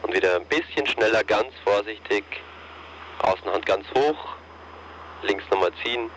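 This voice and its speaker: A man with a fairly low voice talks a pilot through flight maneuvers in calm, encouraging steps. His voice comes over a radio with a faint hiss underneath. The commands are short and steady. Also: moderate pace, low-quality recording. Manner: calm, encouraging step-by-step instructions. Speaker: paragliding instructor.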